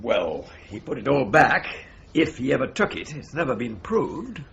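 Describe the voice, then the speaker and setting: A lively wry solicitor. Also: male voice, mid-range voice, normal speed, vocal fry. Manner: jovial, ironic, rambling. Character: genial, wry male solicitor. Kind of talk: 1970s radio drama acting. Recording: slightly dull broadcast recording.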